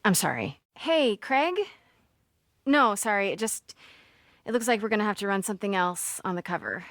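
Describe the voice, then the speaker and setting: An actress playing a determined, caring young newspaper reporter with an American accent, in naturalistic, conversational video game dialogue with wry asides. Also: female voice, mid-range voice, animated, normal speed, a bit bright, dry recording, studio quality. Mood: uneasy.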